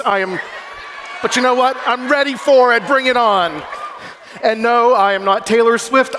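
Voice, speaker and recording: A man's voice: sits high, big-room sound, background noise. A young man from Massachusetts giving an upbeat, self-deprecating keynote speech and working the crowd at a youth conference. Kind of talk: energetic stage speech to a youth audience. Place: large convention hall stage. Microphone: stage microphone through a PA.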